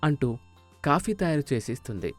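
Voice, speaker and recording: A man's voice: mid-range voice, sing-song, moderate pace, soft background music. A man narrating a scripted moral story as a storyteller.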